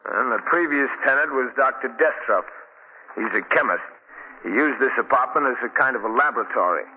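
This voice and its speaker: An actor playing a grumpy, put-upon building superintendent, curt and grudging, in a 1940s radio drama. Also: male voice, mid-range voice, animated, a little fast, slight background noise, close to an everyday voice. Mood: matter-of-fact. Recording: muffled old 1940 radio recording.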